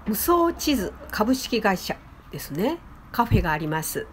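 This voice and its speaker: A woman, presenting a vlog politely and in an animated, sing-song way. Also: medium pitch, measured pace, slight background noise. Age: 70s. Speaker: host, flower designer. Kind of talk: vlog narration to viewers.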